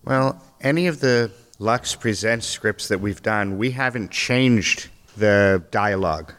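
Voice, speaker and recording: A man from a radio theater troupe, explaining old radio history in a measured, steady way. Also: fairly low pitch. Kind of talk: casual interview talk. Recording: long reverb.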